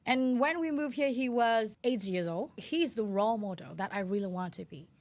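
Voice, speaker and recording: A woman's voice: a little high, normal speed. A woman in her thirties with a Vietnamese accent, telling her story earnestly as an interview guest in non-native phrasing. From Vietnam, Hanoi. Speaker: interview guest, educator and CEO.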